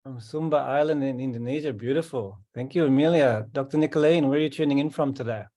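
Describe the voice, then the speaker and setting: A man hosting an online meeting, welcoming each participant calmly and often repeating his words. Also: mid-range voice, quick pace. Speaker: host. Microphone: video call.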